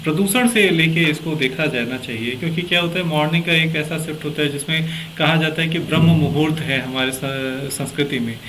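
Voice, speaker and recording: A man with a bright, forceful and slightly high voice hosts a political discussion. He explains things at length, searching for words as he goes. There is some noise behind him. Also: fairly quick, few pauses, low-quality recording. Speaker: interviewer and host of a political talk show. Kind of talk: conversational political discussion in interview format.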